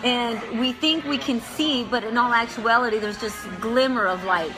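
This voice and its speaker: A woman's voice: a little high, moderate pace, rough recording. A woman hosting a casual livestream chat, upbeat and encouraging, often chiming in. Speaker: livestream host. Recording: background music and crowd noise.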